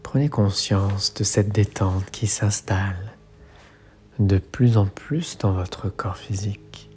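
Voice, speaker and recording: A man leading a guided relaxation meditation as an instructor, speaking in soothing, measured phrases. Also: on the low side, fairly even intonation. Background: soft music.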